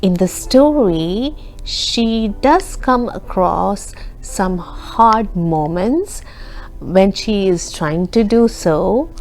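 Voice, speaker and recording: Lady doing kids storytime, really slow n sing-songy, asks questions, faint music behind. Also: medium pitch, a little mellow. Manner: asks listeners questions and explains words simply. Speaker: children's storytime host. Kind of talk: slow, expressive read-aloud with explanations for children.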